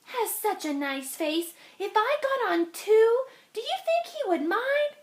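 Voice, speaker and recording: A woman voicing a small, polite storybook tree spider with a squeaky little voice and dainty, drawn-out manners. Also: big pitch swings, full character voice. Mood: polite, curious.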